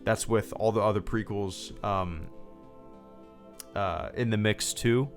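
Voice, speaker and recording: A man's voice: lowish voice, fairly even intonation, slow, soft background music. A man in his thirties hosting a casual livestream, rambling with lots of fillers and restarts and reading out thanks to viewers. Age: 30s. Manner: reads out viewer thank-yous. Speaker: livestream host.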